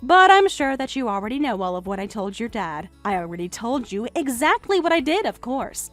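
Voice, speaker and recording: A woman's voice: on the high side, big pitch swings, a little fast, a bit bright, no room sound, faint music behind. A woman voicing a mocking, self-assured jilted young woman in a melodramatic story, sarcastic and condescending. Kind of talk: melodramatic narrated story dialogue.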